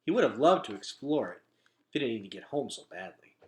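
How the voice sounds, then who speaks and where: A man reading a children's novel aloud as a storyteller, with expressive, emphatic phrasing. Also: mid-range voice, quick pace. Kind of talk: animated children's book reading.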